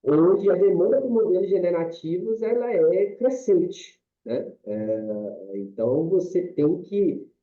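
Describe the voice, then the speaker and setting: Slow Brazilian man on a video call. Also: sits high, animated. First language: Portuguese. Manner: slow, apologetic, with fillers. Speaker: course participant. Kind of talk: online class presentation. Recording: dull video call audio.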